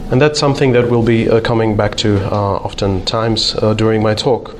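A man's voice: fairly low pitch, steady tone, moderate pace. Guy giving a conference talk, lots of uhs, a bit of faint hiss behind. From Slovakia. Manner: hesitant, many uh fillers, self-deprecating humor. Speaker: conference speaker.

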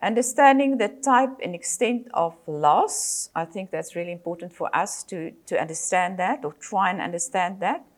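An older South African woman, a native Afrikaans speaker, giving a measured lecture as a psychologist, with frequent fillers and self-corrections. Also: fairly low pitch. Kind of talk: presentation lecture.

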